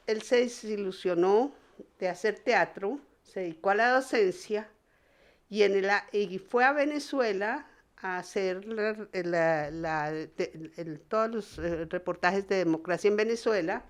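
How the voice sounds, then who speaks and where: Female interviewee, medium pitch, conversational storytelling with a halting, repetitive delivery. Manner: halting, repeats words and restarts often. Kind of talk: conversational recollection of theatre history.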